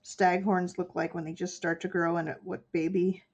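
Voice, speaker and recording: Stuffy woman chatting away while she paints, bit of fry in her voice, muffled lo-fi stream audio. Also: on the low side, little pitch movement, moderate pace, a little mellow. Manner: chatty, explaining her steps as she works. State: stuffy nose from a cold. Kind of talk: casual chat while painting.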